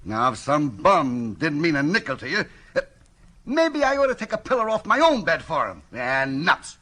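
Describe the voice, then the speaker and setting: An actor playing a surly, sarcastic, money-minded small-town jail hand in 1940s radio crime drama style. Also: male voice, on the high side, very animated, moderate pace, sharp tone, heavily acted. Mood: sarcastic, grumbling.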